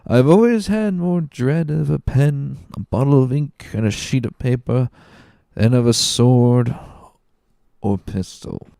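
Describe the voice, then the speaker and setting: A man voicing a drunken, loyal and stubborn tailor in slurred, drawn-out speech for a dramatic book reading. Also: mid-range voice, creaky, mellow, dry recording, clean studio sound, voice pushed up. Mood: woozy musing.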